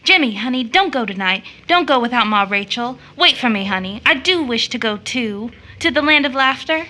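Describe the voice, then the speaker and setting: Tender, wistful motherly woman played by an actress for a stage-style audio drama; bright, fairly high voice, soothing delivery. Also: lively intonation, normal speed. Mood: pleading, yearning. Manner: soothing, affectionate, storytelling.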